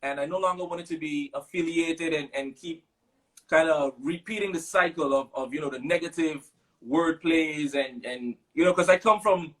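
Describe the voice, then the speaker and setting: A man in his thirties with a Caribbean English accent from Sint Maarten and a fairly high voice. He talks in a loose, relaxed way, telling stories with lots of fillers as an interview guest. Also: normal speed, rough recording. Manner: relaxed storytelling with many fillers. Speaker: guest musician. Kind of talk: casual interview chat.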